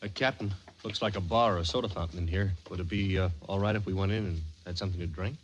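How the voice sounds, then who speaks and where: Easygoing rocket lieutenant male actor, deferential, brisk delivery, vintage radio drama with faint noise. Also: low-pitched, lo-fi sound, natural voice. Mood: casual. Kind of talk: old-time radio drama acting. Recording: noisy old radio recording.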